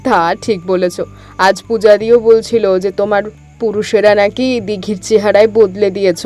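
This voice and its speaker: A woman voicing a caring younger sister in a family cartoon drama, gently scolding her brother. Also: mid-range voice, fairly even intonation, moderate pace, soft background music, lightly acted.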